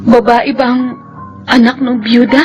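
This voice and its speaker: A woman's voice: on the high side, flat delivery, a little slow, darkish tone, lo-fi sound, soft background music, lightly acted. A woman playing a devoted, anguished, prayerful wife in a Filipino radio drama, with a pleading, emotional delivery. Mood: anxious questioning.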